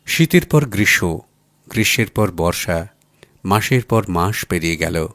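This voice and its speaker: A man's voice: sits low, lively intonation, unhurried, fairly bright tone, no room sound, clean studio sound. A man narrates a literary short story in a dramatic audiobook style. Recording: music bed under the voice. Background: background music.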